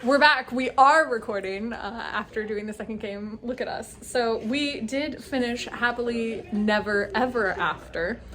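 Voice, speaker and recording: A woman's voice: on the high side, unhurried, hardly any pauses, fairly bright tone, a little hiss or hum. A woman chatting enthusiastically and casually as the host of a group recap vlog.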